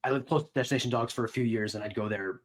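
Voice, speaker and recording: A man's voice: mid-range voice, very fast. A man chatting casually as a co-host on a podcast. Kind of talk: casual chat.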